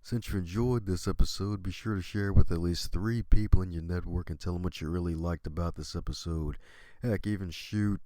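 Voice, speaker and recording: Deep-voiced upbeat male podcast host. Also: brisk, dark tone, dry recording. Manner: upbeat, playful, repeats catchphrases. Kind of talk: casual interview chat.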